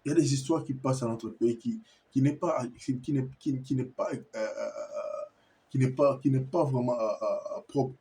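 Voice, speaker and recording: Insistent Congolese man, mellow voice. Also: mid-range voice, steady tone, normal speed, gravelly. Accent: Congolese French. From Kinshasa, Congo. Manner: insistent, repetitive, stammers when searching for words. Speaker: commentator addressing viewers. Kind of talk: impassioned video monologue.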